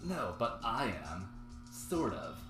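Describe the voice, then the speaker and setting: A man's voice: mid-range voice, big pitch swings, slow pace, reverberant, music in the background. Man reading as an eager nerdy young gargoyle.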